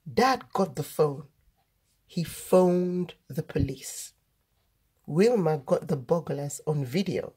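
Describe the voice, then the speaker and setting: A woman reading a children's storybook aloud, deliberately, word by word, as the host of a read-aloud. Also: sits low, expressive, mellow, a little hiss or hum. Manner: deliberate, word-by-word delivery for early readers.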